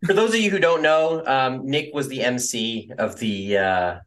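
A man's voice: mid-range voice, normal speed, heavy background noise. A young man co-hosting a podcast, recapping events in a rambling, filler-heavy casual way. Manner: rambling, many fillers and hedges. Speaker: podcast co-host.

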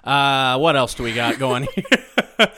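A man's voice: mid-range voice, lively intonation, takes it slowly, bright, forceful tone. A man hosting a casual podcast interview, teasing his guest with joking, crude banter. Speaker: podcast host.

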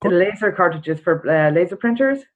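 A woman's voice: on the low side, animated, moderate pace, husky. A middle-aged woman from Ireland with an Irish accent, telling her story casually as a podcast guest. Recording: dull narrow-band call audio.

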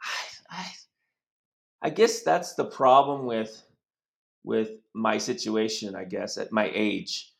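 Gravelly-voiced man in his sixties. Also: on the low side, slow pace, long pauses. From United States. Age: 60s. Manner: rambling, frequent restarts and asides. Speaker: host. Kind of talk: casual interview talk.

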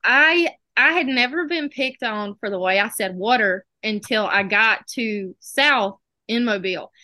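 Bright-voiced Southern woman, chatty storyteller. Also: a little high, measured pace, no room sound. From South Alabama. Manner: chatty storytelling, acts out quoted exchanges. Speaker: dental student guest. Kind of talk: casual chat.

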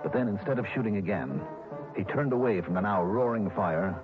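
An actor playing a tough, insistent investigator in a radio drama, clipped and hard-boiled. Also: male voice, lowish voice, animated, brisk, over music, natural voice. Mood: tense, wry. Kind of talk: 1960s radio drama acting and narration. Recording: dull old radio recording.

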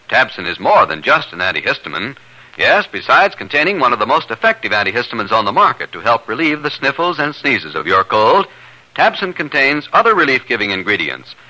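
A man's voice: medium pitch, bright, forceful tone, rough recording. A male announcer reading a scripted advert emphatically in the style of 1950s radio, on a vintage broadcast recording. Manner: emphatic, spells out words.

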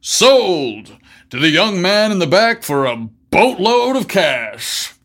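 A man voicing a forceful auctioneer in an audio story, calling out in loud, drawn-out barks. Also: high voice, big pitch swings, voice pushed up, exaggerated voice. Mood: commanding. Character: barking, forceful male auctioneer.

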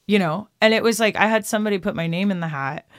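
Woman, 30s, musician guest, chatty and animated, close dry studio sound. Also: medium pitch, quick pace, no room sound. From Austin, Texas. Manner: chatty storyteller, laughs often, acts out quotes. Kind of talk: casual interview.

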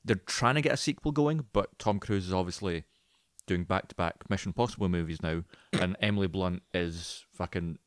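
A man's voice: deep voice, moderate pace, no room sound, studio quality. A man co-hosting a podcast, chatting informally and with strong opinions about films, swearing freely. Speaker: podcast co-host. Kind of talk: casual film and video game chat.

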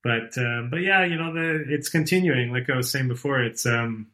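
A man's voice: medium pitch, moderate pace, pushed and bright. Man in his thirties, loose rambling talk. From born and raised outside Iran. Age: 30s. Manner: rambling, many fillers and restarts. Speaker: podcast guest. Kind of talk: casual conversational interview.

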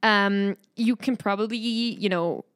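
A woman's voice: mid-range voice, slow, clean tone. A young woman from France with a French accent, co-hosting a casual podcast chat, friendly, with frequent laughs and fillers. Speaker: podcast co-host.

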